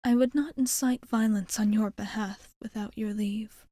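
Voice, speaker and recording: A woman voicing a composed, protective vampire bartender in a soft, formal ASMR roleplay, half-whispered close to the microphone. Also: medium pitch, steady tone, dry recording, natural voice. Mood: protective, resolute. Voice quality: soft, breathy, often near a whisper. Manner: formal, measured, hesitant wording. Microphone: close microphone, dry sound.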